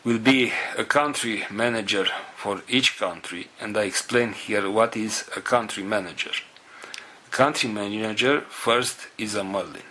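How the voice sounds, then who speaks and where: A man with a non-native English accent giving a spiritual talk to followers in a solemn, halting manner, in short phrases. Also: on the low side, bright, forceful tone, slight background noise. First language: not English. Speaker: spiritual group leader.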